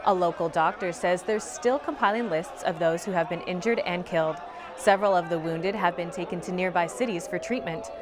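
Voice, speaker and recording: A young woman anchoring a college newscast from a studio newsroom, reading scripted headlines in a crisp, even newscaster manner. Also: on the low side, fairly quick, crowd noise. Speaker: student news anchor.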